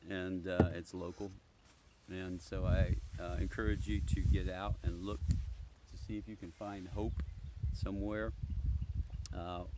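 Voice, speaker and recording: A man reading a children's story aloud in an informal way, sometimes stumbling and correcting himself. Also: lowish voice. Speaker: storybook reader, ranger. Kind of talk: read-aloud children's story with casual asides. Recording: clearly noisy background with clicks.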